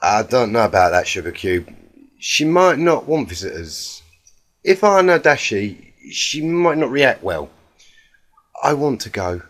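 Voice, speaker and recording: A man's voice: mid-range voice, sing-song, a little slow, fairly bright tone. A man voicing a worried, weary young female cartoon country pony with an earnest, folksy way of talking. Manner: folksy, uses homely pet names.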